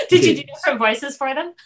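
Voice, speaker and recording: A middle-aged woman hosting a casual video-call interview in an effusive, halting way. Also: sits high, expressive, a bit bright, a little hiss or hum. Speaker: host, interviewer. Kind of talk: casual enthusiastic interview chat. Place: indoor room, video call. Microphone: video call microphone.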